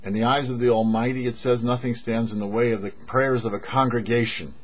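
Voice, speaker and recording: A middle-aged man, a rabbi, giving a short religious teaching in a measured, explanatory way with deliberate pauses. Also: medium pitch, flat delivery. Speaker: rabbi and show host. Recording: dull, lo-fi webcam-style audio.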